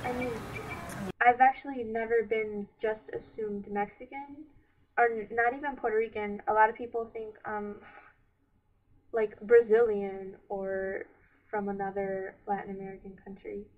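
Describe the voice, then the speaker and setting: A woman's voice: mid-range voice, flat delivery, far from the mic. Irritated young woman, tinny lo-fi sound. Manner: quick, irritated, outspoken. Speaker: interviewee.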